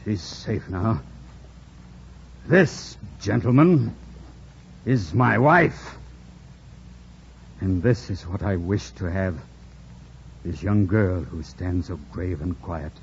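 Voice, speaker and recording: An actor playing a proud, bitter, commanding gentleman in anguish, imperious and theatrical in an old radio drama style. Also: low voice, animated, takes it slowly, pauses often, heavily acted. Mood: grave anguish. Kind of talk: 1940s-50s radio drama acting. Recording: dull, noisy old radio broadcast recording.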